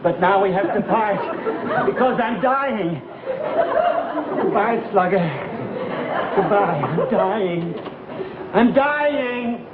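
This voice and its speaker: High, slow, hammy gangster. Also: male voice, expressive, a loud crowd. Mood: hammy dying. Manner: drawn-out, overwrought. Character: melodramatic, hammy gangster sidekick. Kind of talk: 1960s broadcast comedy sketch acting. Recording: tinny echoey old broadcast, loud audience noise.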